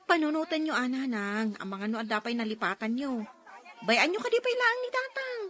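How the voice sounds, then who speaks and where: A woman's voice: sits high, sing-song, moderate pace, fairly bright tone. A woman playing a patient, soothing Filipino daughter in a radio drama. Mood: soothing, apologetic.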